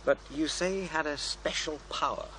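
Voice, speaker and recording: An actor playing a sceptical programme-maker in a radio drama, asking probing questions in a dry, blunt manner. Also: male voice, fairly high pitch, moderate pace, pushed and bright, a little hiss or hum, poor audio. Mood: dry scepticism. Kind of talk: naturalistic radio drama acting.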